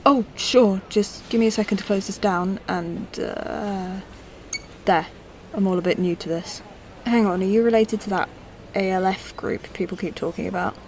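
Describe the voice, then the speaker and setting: A woman playing a courteous, curious old-model android in a sci-fi audio drama, speaking in a measured, polite way. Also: mid-range voice, darkish tone, slight background noise, lightly acted, low-quality recording. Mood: polite, guarded.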